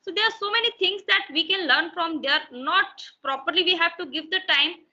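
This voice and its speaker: An Indian-accented woman speaks slowly and hesitantly, filling pauses with "uh" and "like". Her voice is high and very bright. The sound is muffled, like an online call. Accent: Indian English. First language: Hindi. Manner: hesitant, many fillers and restarts. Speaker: interview guest, English learner. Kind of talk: casual conversational English practice.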